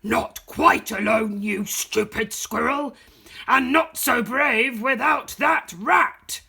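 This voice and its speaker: A woman voicing a menacing male park dog in a storybook reading, sneering, slow and loud. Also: on the high side, gravelly, slightly rough, pitched above the performer's own voice, exaggerated voice.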